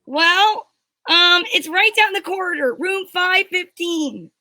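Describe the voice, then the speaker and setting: Woman acting pert miss, very high, bright voice. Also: animated, slow, dry recording, studio quality, full character voice. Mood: flustered. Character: feisty, indignant young mademoiselle in a 1940s mystery. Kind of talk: amateur old-time radio mystery reading.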